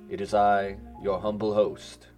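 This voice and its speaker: A deep male voice from an actor plays a pulp radio show host. He is theatrical and grandiose with a mock-humble air, speaking over faint music. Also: level tone, unhurried, darkish tone, lightly acted. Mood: grand, welcoming.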